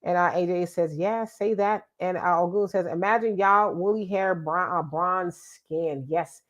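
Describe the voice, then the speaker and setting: A woman's voice: on the low side, unhurried, dull audio. A middle-aged woman, sounding congested, hosting a casual live show and reading out viewer comments in an emphatic, chatty way that repeats itself. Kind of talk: casual live-stream talk.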